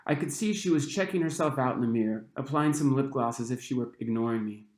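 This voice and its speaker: A man, the author, reading his own young adult novel aloud with expressive storytelling. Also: medium pitch, fast, echoey room. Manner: expressive storytelling, acting out the dialogue.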